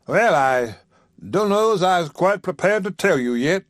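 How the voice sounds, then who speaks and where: A man's voice: high voice, lively intonation, unhurried, no room sound, clean studio sound, voice pushed up. A man doing a pompous drawling preacher. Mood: courting. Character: self-satisfied male preacher courting a widow.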